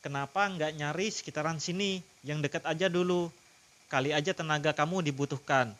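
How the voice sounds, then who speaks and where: A man's voice: a little high, fairly quick, fairly bright tone, lightly acted. A man voicing a worried elderly village father in a storybook reading, pleading with anxious questions. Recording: music clearly behind the voice.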